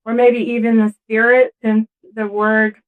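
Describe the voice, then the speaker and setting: A woman's voice: fairly high pitch, little pitch movement, a little slow, phone-quality sound. A woman speaking as the host of an interview podcast. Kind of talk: scripted introduction and conversational interview.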